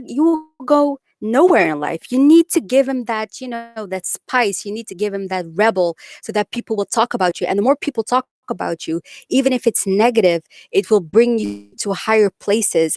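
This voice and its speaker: A woman's voice: mid-range voice, a little mellow. Blunt woman with a Dutch accent. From Netherlands. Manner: blunt, outspoken, says it like it is. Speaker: live-stream guest answering viewer comments. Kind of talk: live-stream chat with viewers.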